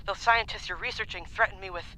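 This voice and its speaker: Woman as an evasive, sharp-voiced girl on the phone. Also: medium pitch, quick pace, a little hiss or hum, low-quality recording. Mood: secretive. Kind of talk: comic audio drama acting.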